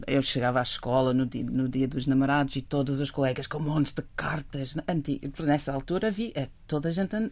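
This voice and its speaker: A woman with a British accent and English as her first language, a guest on a radio show, chatting casually in a halting way and often correcting herself. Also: rumbling low, darkish tone, dry recording. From Britain. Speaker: artist and illustrator, guest.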